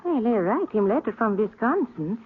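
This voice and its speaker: Lilting, high-voiced young Swedish bride. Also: female voice, very animated, moderate pace, husky. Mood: trusting, cheerful. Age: young adult. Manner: broken English, simple and direct. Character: earnest, trusting, stubborn young Swedish immigrant bride. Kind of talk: 1950s radio western acting. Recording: tinny old-time radio recording.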